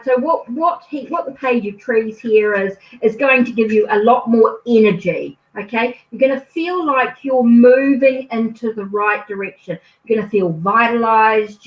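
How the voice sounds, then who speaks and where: Tarot reader, a woman with a New Zealand accent, higher voice, reassuring, recorded far from the mic in an echoey room. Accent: New Zealand English. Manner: reassuring, ends phrases with a questioning "okay?". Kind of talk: tarot card reading for a viewer. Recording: echoey, distant, dull lo-fi sound.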